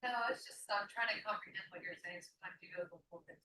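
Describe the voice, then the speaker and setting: A woman's voice: fairly low pitch, fairly even intonation, racing, bright, forceful tone, slight background noise. A woman prospective juror answering questions plainly. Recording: echoey courtroom from far off.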